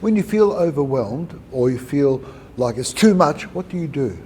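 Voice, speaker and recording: A middle-aged man hosting a conversational interview, speaking in a measured way, asking questions and summing up answers. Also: a little high, lively intonation, darkish tone, a little hiss or hum. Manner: measured, repeats and sums up answers. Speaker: podcast host.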